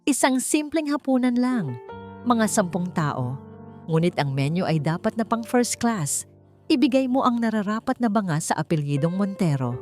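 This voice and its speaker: A woman's voice: medium pitch, sing-song, normal speed, a little mellow, faint music behind, pitched above the performer's own voice, natural voice. A woman voicing a haughty, condescending rich matriarch in a dramatic romance audio story, taunting and mixing Tagalog with English. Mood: cold, taunting. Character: haughty, mocking rich matriarch, cold mother-in-law villain.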